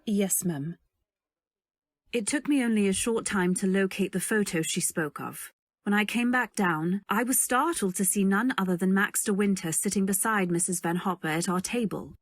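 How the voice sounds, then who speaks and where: A woman playing a timid, wistful young woman who narrates an old-time radio drama in a measured, dreamy, deferential way. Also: mid-range voice, no echo, clean studio sound, close to an everyday voice. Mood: meek, reflective.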